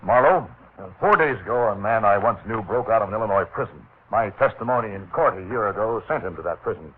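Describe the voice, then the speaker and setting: A man's voice: fairly low pitch, lively intonation, fast talker, slightly rough. An American actor playing an anxious, hesitant businessman who fears for his life, in 1940s radio drama style. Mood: worried. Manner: hesitant, earnest. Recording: muffled, tinny 1940s radio recording.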